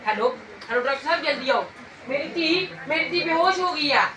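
A blustery, indulgent father acted by a young female performer in a stage play, fairly high and fast. The voice echoes from far off amid clear noise. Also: lively intonation. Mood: anxious. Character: indulgent, anxious Punjabi father. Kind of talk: school stage play acting.

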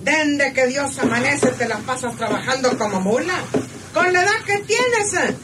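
An actress playing a fond, scolding ranch housekeeper with a rural Mexican accent in a radio drama. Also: female voice, sits high, lively intonation, moderate pace. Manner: nagging, folksy. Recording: noisy old radio serial recording.

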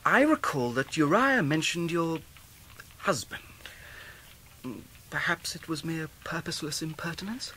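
Earnest young English lawyer with lively intonation. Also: male voice, a little high, unhurried, a little hiss or hum, low-quality recording. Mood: tactful. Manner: polite, precise, eager. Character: earnest, courteous, good-natured young lawyer. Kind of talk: 1990s radio drama acting.

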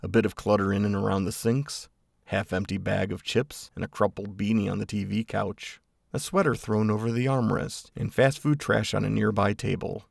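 A man narrating a scripted story in a gravelly, husky voice. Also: lowish voice, steady tone, moderate pace, no room sound, clean studio sound.

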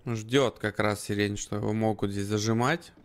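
A man's voice: fairly low pitch, steady tone, moderate pace. A man commentating and analysing a live esports match in conversational gamer slang. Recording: gunfire and game sounds behind. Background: gunfire, clicks from the game.

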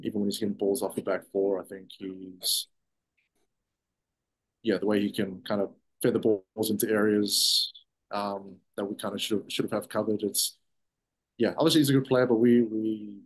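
A man's voice: on the low side, husky, poor audio. A man in his thirties, a soccer defender, answering postgame press questions over a video call, stopping and restarting his sentences often. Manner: halting, many restarts and fillers. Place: press room in front of sponsor backdrop.

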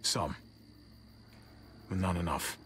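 An actor playing a gruff, protective man surviving a zombie apocalypse in a video game, muttering in terse, understated lines. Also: deep voice, steady tone, slow pace, fairly bright tone, slight background noise. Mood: subdued, guarded. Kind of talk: naturalistic video game voice acting.

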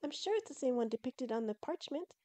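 A woman voicing an excitable, earnest young female fox creature in an audio story, eager and emphatic. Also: sits high, a little fast, voice pushed up. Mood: excited.

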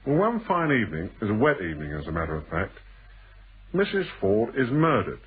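An actor playing a shrewd, insinuating stranger in a British radio thriller, with a teasing, deliberate way of probing and a husky voice. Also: male voice, lowish voice, wildly swooping pitch, slight background noise. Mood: sly storytelling. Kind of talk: British radio drama acting. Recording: muffled band-limited old radio broadcast.

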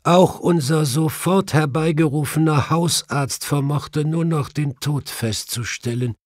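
A man's voice: fairly high pitch, a little mellow, no echo, studio quality, voice pushed up. A man voicing a grieving middle-aged widow with a brittle, tearful voice in an audiobook. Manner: halting, sobbing.

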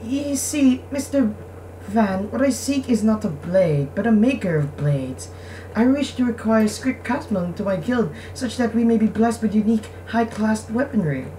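A woman's voice: on the high side, animated, moderate pace, mellow, reverberant, lightly acted. A woman voicing a formal, haughty young noble swordswoman from a visual novel game. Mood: earnest, formal. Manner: formal, prim, earnest. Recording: distant mic, faint background noise.

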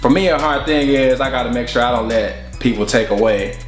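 A man's voice: mid-range voice, fairly quick. A man in his twenties, a rapper talking casually and reflectively in an interview. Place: living room couch indoors. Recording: slightly roomy indoor sound, faint music behind.

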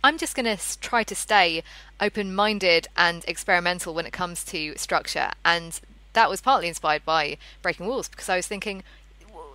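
A woman's voice: lowish voice, pushed and bright, no room sound, low-quality recording. A woman in her thirties hosting a casual podcast conversation, speaking in an enthusiastic, appreciative way. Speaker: podcast host.

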